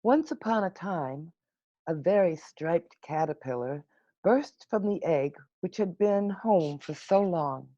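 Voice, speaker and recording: A woman reading a children's storybook aloud in an expressive, unhurried manner with dramatic pauses, over a video call. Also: on the low side, no echo. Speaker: storybook reader. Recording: muffled, lo-fi video call audio.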